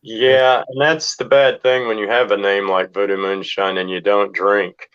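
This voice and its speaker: Dull, dry recording of a low-voiced laid-back man. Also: level tone, normal speed, fairly bright tone, smooth, dry recording. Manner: laid-back, plain-spoken. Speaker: guest, rock band musician. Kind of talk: casual chat. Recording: dull lo-fi, dry close sound.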